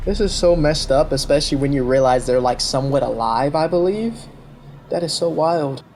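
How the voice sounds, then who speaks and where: A man hosting a video with casual, excited commentary, chatting to viewers in an informal, conversational way. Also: fairly high pitch, normal speed. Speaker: video host reviewing a horror series. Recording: loud music behind the voice. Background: loud background music.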